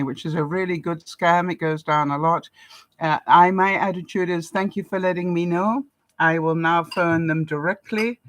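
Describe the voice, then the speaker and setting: A woman's voice: low voice, no room sound. Congested older woman chatting live. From Canada. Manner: chatty, with frequent ums and soft laughs. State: stuffed up, congested nose. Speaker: vlogger hosting a live broadcast. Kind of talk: casual live-stream chat.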